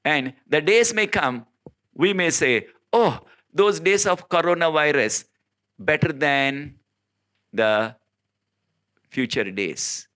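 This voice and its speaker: A man from South India whose first language is Telugu, preaching in an earnest, emphatic way, with many filler pauses and sudden rises in pitch. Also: lively intonation, fairly bright tone, smooth. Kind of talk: informal recorded sermon.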